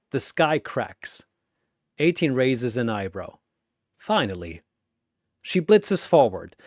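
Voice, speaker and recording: Dude narrating fights dramatically, slow pace, lots of pitch swing, dry close sound. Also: male voice, dry recording. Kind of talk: dramatic scripted battle narration.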